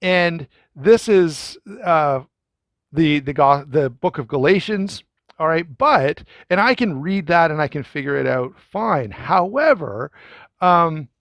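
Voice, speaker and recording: A man talks through a knife review in a loose, conversational way, often restarting and filling pauses. His voice sits a little high for a man. The recording is dry, with no room echo. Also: lively intonation, fairly bright tone. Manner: conversational, many fillers and restarts; addresses viewers directly. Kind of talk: casual first-impression review.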